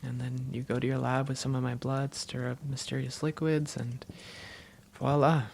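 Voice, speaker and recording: Woman playing creaky-voiced, affectionate vampire girlfriend. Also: rumbling low, flat delivery, moderate pace, darkish tone, a little hiss or hum. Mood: tender, soothing. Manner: playful, tender, soothing. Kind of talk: intimate roleplay audio addressed to a partner.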